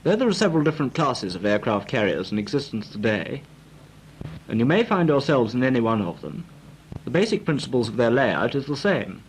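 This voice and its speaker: Actor as formal British naval instructor, lively pitch. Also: male voice, a little fast, close to an everyday voice. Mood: formal, instructive. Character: brisk, formal male naval flying instructor briefing trainees. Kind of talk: 1940s instructional film lecture. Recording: noisy, crackly 1940s film soundtrack.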